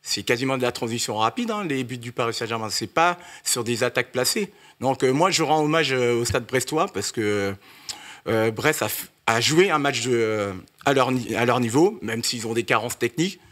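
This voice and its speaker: Man, panelist, measured, analytical, mid pitch. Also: animated, fairly bright tone. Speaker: football panelist. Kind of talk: football analysis in casual chat.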